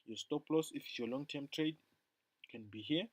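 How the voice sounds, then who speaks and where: A man casually talking through a forex chart on a screen recording, rambling and calling his viewers "guys", his loudness swinging from loud to mumbled. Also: on the high side, normal speed, dry recording. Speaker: forex trading tutor.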